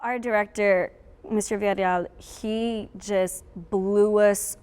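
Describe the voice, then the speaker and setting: A woman's voice: medium pitch, fairly even intonation, slow, a little mellow, clean tone. A young woman giving reflective interview answers in an earnest, emphatic way as a member of a drama cast. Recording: echoey, long room reverb.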